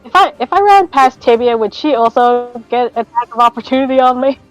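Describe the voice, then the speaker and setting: A woman's voice: on the high side, expressive, a little fast, soft background music, rough recording. A woman chatting casually as a player in a tabletop roleplaying game.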